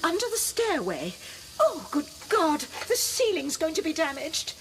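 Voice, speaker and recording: A woman's voice: very high voice, huge pitch swings, measured pace, slight background noise, heavily acted. An actress in a radio drama playing a genteel, theatrical woman in her sixties with a coy, needling temper. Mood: panicked. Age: 60s. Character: genteel older wife, coyly spiteful, theatrical, needling.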